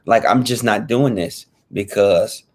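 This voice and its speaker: A man's voice: fairly low pitch, unhurried, darkish tone. A man in his thirties talking casually to camera as a fitness vlogger, earnest and repetitive, restating his points in informal slang. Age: 30s.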